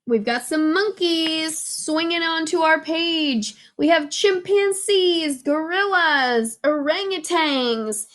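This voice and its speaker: A woman's voice: extremely high pitch, takes it slowly, hardly any pauses, a bit bright. A woman teacher reading a picture book aloud to young children and explaining it in simple, emphatic, playful phrases.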